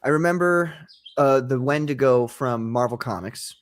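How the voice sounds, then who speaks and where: A man who creates comics talks as a guest, winding through long stories with eager energy and frequent laughs. His voice sits at an ordinary middle pitch for a man. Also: normal speed. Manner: enthusiastic storyteller, rambling, laughs easily. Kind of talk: casual interview chat.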